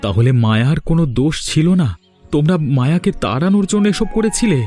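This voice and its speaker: A man voices a young married son in a cartoon family story. He sounds earnest and stubborn, quick to argue his side. Soft music runs underneath. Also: on the high side, very animated. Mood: upset realisation. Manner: earnest, argumentative. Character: stubborn, trusting young husband, a grown son. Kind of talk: dubbed cartoon dialogue.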